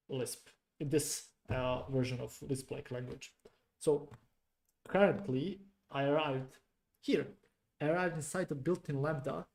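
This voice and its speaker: Unhurried man talking himself through code, soft mellow voice, halting and mumbled. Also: fairly high pitch. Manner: slow, halting, muttering to himself. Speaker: programming streamer. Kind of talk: thinking aloud while debugging code.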